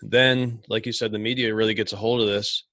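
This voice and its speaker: A man's voice: on the low side, level tone, brisk, pushed and bright, dry recording. A man from Ohio who runs a small business, talking casually and rambling with lots of restarts and fillers. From Ohio, USA. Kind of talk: casual conversation.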